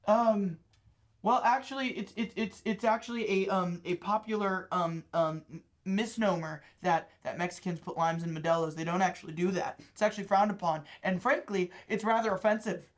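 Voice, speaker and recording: A man's voice: sits high, moderate pace, lightly acted. A man playing a defensive, needy office employee who stammers as he argues in a comedy sketch. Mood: defensive, flustered.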